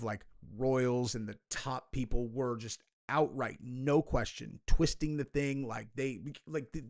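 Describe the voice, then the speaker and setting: A young man hosting a casual reaction video, rambling and sarcastic, with lots of "like" and "you know". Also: mid-range voice. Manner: rambling, sarcastic, heavy filler words. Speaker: commentary video host.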